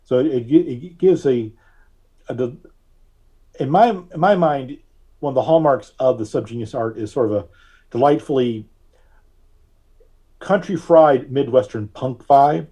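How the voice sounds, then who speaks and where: Male interview guest. Dark, slightly raspy voice, mid pitch, conversational and searching for words. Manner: halting, searching for words, thoughtful. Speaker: podcast guest, artist and reverend.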